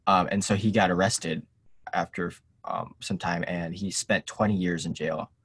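A young man chatting casually as an interview guest, hesitant and halting in his delivery. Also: low voice, fairly even intonation, a bit bright, husky. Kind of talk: casual chat. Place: indoor room, seated on a couch on a video call.

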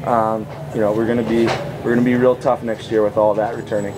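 A man in his thirties speaks as head coach at a postgame press table under an outdoor tent. His delivery is upbeat and runs on with small restarts. Clear outdoor noise sits under the voice, picked up by a table mic. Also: lowish voice, level tone, fast talker.

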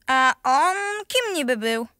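Woman as high, bright-voiced sulky little boy. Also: big pitch swings, takes it slowly, dry recording, clean studio sound, exaggerated voice. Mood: sulky, skeptical. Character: sulky, skeptical young grandson, picky eater. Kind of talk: children's radio play.

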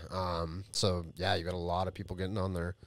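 A man in his late thirties with a low voice, hosting a laid-back podcast chat. He talks loosely and calls people man or bro. The sound is dry and close on a boom mic in a small studio room. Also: flat delivery, normal speed, a bit bright, dry recording. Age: 30s. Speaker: podcast host. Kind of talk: casual chat. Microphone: headset and boom mic.

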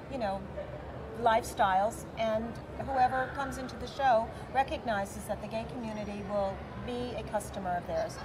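A middle-aged woman, an expo organizer answering interview questions with lots of fillers. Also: a little high. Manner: talkative, many fillers, gestures while talking. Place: large convention center hall, indoors. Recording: busy convention hall crowd noise. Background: crowd chatter.

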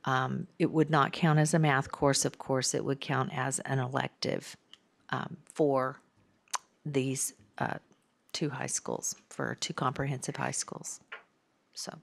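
A woman's voice: very deep voice, flat delivery, slow pace, vocal fry. A woman presenting a course proposal at a podium to a school board, hesitant with frequent um's. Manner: hesitant, filler-laden explaining. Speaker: district staff presenter. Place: large board meeting room. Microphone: podium microphone.

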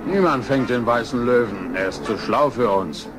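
A man's voice: medium pitch, animated, normal speed, over music, lightly acted. An actor playing a grumbling male sidekick of a lion trapper in a children's audio drama. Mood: resigned.

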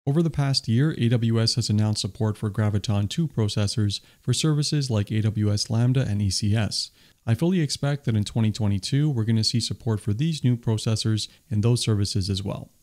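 A man's voice: lowish voice, fairly quick, darkish tone. A man hosting a tech video, reading a scripted voice-over summary with an enthusiastic, explanatory delivery. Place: voice-over, not recorded on the stage pictured.

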